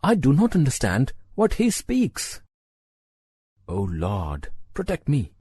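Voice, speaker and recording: A woman reads aloud and voices a timid old village man in a lowered tone. He pleads nervously, slow and slightly husky, in a dry, close sound. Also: wildly swooping pitch, dark tone, no room sound, exaggerated voice.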